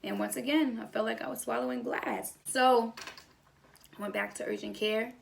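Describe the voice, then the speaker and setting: A woman's voice: fairly high pitch, steady tone, moderate pace, creaky, a little hiss or hum. A young woman telling a personal story in a casual vlog style, acting out bits of conversation as she goes. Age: young adult. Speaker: vlogger.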